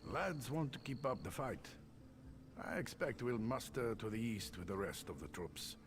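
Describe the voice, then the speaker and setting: An actor playing a plain-spoken soldier who became a reluctant commander, in video game dialogue. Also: male voice, mid-range voice, lively intonation, normal speed, noisy background, natural voice. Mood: earnest, uncertain. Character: soldier made reluctant commander of veteran refugees. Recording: game music behind the voice.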